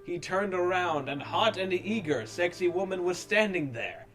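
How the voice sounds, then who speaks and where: A man's voice: sits high, expressive, normal speed, fairly bright tone. A man giving a mock-serious, theatrical comedic reading of a story as narrator. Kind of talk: dramatic comedic reading of fan fiction. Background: music.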